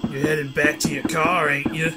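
A man's voice: a little high, little pitch movement, normal speed, loud music behind the voice, natural voice. A man playing an uneasy mobster in a comic book dub. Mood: menacing. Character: anxious, pleading male crime boss and mob henchmen.

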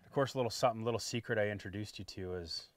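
A man's voice: on the low side, racing, no echo. A man in his forties hosting a fishing show, chatting casually about his gear outdoors on a boat with a lapel mic. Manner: relaxed and chatty, tags lines with "right?".

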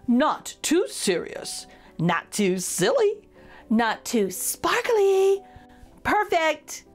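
Slow, animated woman reading to children. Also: on the high side. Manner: addresses young listeners directly, playful. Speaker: picture-book reader for children. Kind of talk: expressive children's read-aloud.

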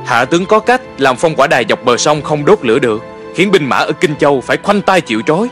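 A man's voice: on the high side, expressive, fast talker, a bit bright, soft background music. A man playing a clever, confident young officer in a dubbed historical cartoon, polite and persuasive.

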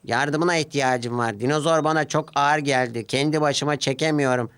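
A man's voice: on the high side, fairly even intonation, moderate pace, fairly bright tone, clean tone, pitched above the performer's own voice. A man voicing an eager, earnest little male steam locomotive in a children's cartoon. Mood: pleading. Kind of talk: children's cartoon character dialogue.

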